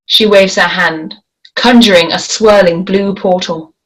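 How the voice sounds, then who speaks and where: A woman reading stage directions aloud at a script table read, in a deliberate, measured way. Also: mid-range voice, steady tone, fairly bright tone, poor audio.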